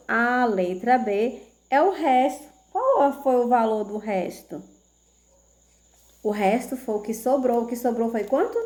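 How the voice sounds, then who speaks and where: Woman teacher, somewhat high voice, slow and patient, checks in often with her young pupils. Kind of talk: video math lesson for children.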